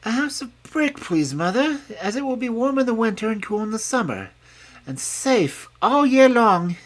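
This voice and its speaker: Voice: medium pitch, very animated, moderate pace, voice pushed up. A reader voicing a sensible, polite young male piglet in a storybook. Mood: eager. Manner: eager and polite. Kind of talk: storybook reading.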